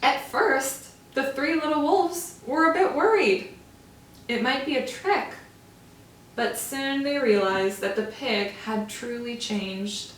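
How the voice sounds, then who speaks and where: A woman teacher reading a storybook aloud to young children, with slow, expressive, drawn-out emphasis. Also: high voice, slight background noise. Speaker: first-grade teacher. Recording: roomy echo, from far off.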